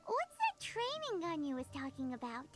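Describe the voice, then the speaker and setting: A woman voicing a tiny, childlike, excitable fairy companion in a video game, perky and exclamatory. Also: high voice, normal speed. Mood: earnest. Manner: perky, exclamatory, refers to herself by name. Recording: game music behind the voice.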